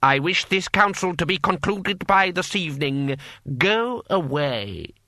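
A man's voice: sits high, lively intonation, measured pace, pushed and bright, dry recording, clean studio sound, pitched above the performer's own voice, exaggerated voice. A man voicing an imperious, scheming, impatient fantasy warlord in an audiobook, haughty and coolly polite.

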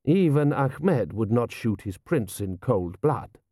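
An imperious storybook prince, as a male reader plays him, haughty and scornful. He takes his words slowly and deliberately, in a dry, close recording. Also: mid-range voice, big pitch swings, warm tone, no room sound. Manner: haughty, measured. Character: proud, imperious, contemptuous foreign male prince.

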